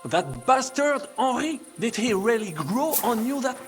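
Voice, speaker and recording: An actor playing a treacherous, smooth-talking French nobleman with a theatrical, wheedling manner and a French accent. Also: extremely high pitch, very animated, a little slow, a bit bright, heavily acted. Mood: silky menace. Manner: theatrical, wheedling, peppers speech with French phrases. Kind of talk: dramatic video game acting. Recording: music underneath the dialogue.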